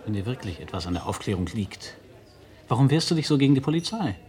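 A man's voice: mid-range voice, sing-song, quick pace, darkish tone, natural voice. A man playing a young adult son in a German radio drama, stubborn and fed up, speaking in a blunt, dismissive way. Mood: weary, defiant.

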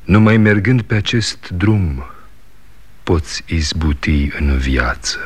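An actor playing a stubborn, defiant younger son in a 1960s radio drama, speaking insistently. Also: male voice, low-pitched, animated, husky.

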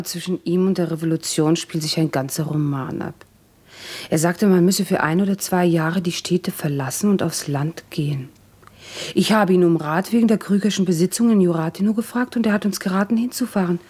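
An actress playing a devoted young wife in a radio play, earnest and coaxing. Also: female voice, fairly low pitch, normal speed, dark tone, slightly rough. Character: devoted young Russian wife, pleading and practical.

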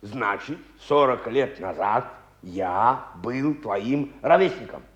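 A man's voice: medium pitch, animated, takes it slowly, muffled recording. An actor playing an older man, playful and insistent, in emphatic stage dialogue with a younger friend. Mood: mock serious.

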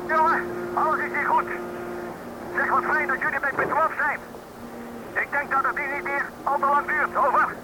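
A man playing a trapped father, steady and affectionate, speaking emphatically over a radio in an audio drama. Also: very high-pitched. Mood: relieved, tender. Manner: slow, emphatic, repeating radio messages. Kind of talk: radio play acting. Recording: very noisy crackling radio link.

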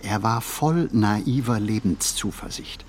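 An actor playing a pensive, anxious man who muses on everyday details in a measured radio play monologue. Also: on the low side, slight background noise. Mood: brooding. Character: pensive, anxious male city flaneur musing on everyday details.

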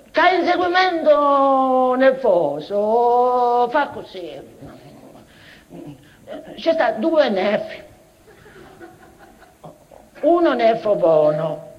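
A slow, high voice gives us a tormented, childlike madwoman in a tragicomic theatre monologue. She circles obsessively through broken, made-up dialect, repeating and stumbling over her phrases. The recording is dull and slightly muffled. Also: slight background noise. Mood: manic, confused. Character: mad, childlike mother and former nursery teacher, obsessive and tormented.

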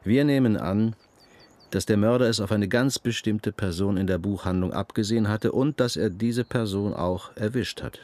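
A male actor portrays an experienced police detective in a radio crime drama. His voice is fairly low, and he talks in a calm, deliberate way, considerate and reassuring. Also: no room sound, natural voice.

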